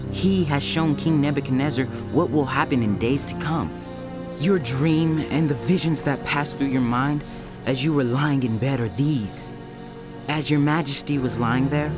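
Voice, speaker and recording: An actor playing a devout, solemn seer in a dramatized audio Bible, speaking in reverent, measured, declamatory phrases. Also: male voice, mid-range voice, natural voice. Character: Daniel, devout, solemn young Hebrew seer.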